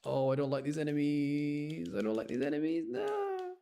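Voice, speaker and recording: A man's voice: a little high, sing-song, normal speed, gravelly, dark tone. A man doing casual live game commentary for his chat, rambling and thinking aloud as he plays. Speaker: game streamer.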